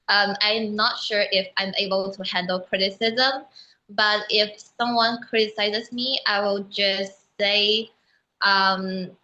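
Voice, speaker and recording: Bright-voiced young woman from Malaysia. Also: medium pitch, dull audio. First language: Mandarin Chinese. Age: young adult. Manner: earnest, measured, with frequent ums. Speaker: guest. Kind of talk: casual video call interview. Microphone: video call.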